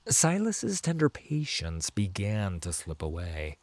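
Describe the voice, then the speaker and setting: A man narrating a dramatic fantasy story in a measured, solemn manner. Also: lowish voice, wildly swooping pitch, a little mellow, no room sound.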